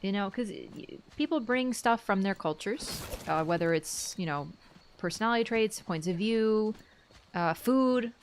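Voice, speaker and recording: A woman's voice: medium pitch, moderate pace, a little hiss or hum. Chatty woman streamer. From Canada. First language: Polish. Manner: chatty, animated storytelling, talks to chat. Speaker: gaming streamer. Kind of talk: casual chat while playing.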